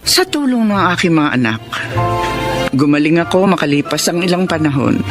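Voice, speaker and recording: An actress playing a remorseful middle-aged former folk healer, narrating solemnly in a radio drama over music. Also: female voice, on the low side. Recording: music bed behind voice.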